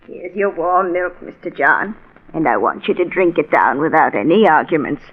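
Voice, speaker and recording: A woman's voice: sits low, lively intonation, normal speed. An actress playing a devoted, mothering housekeeper with a fussy manner in 1940s radio style. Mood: fussing. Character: fussing, devoted female housekeeper. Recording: thin, phone-like old radio recording.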